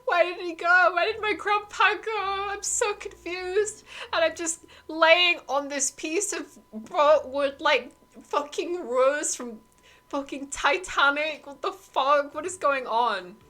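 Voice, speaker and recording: A woman's voice: mid-range voice, flat delivery, brisk, hardly any pauses, bright, forceful tone, natural voice. A woman voicing a panicky, foul-mouthed young boy who screams frantically. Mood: panicked.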